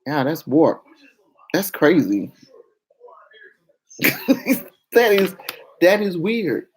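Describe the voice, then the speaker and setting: A woman with a fairly deep voice that carries well talks to her viewers. She is frank and confessional in a loose, casual vlog style, and she calls her audience "y'all". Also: sing-song, extremely slow, a little hiss or hum, low-quality recording. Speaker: vlogger. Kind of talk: candid casual talk to viewers.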